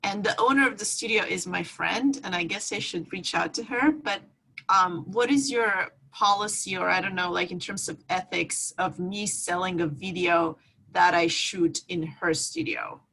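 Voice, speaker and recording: A woman's voice: on the low side, normal speed, creaky, sharp tone, low-quality recording. A woman yoga teacher asking a question on a group video call, hesitant with many fillers. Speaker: yoga teacher, course participant.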